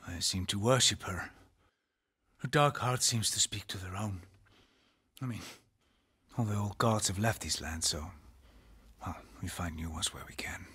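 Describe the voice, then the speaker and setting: A man with an Icelandic accent playing a grave, weary Norse villager in a video game, with a husky, breathy voice. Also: fairly low pitch, a bit bright, exaggerated voice. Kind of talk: dramatic video game voice acting.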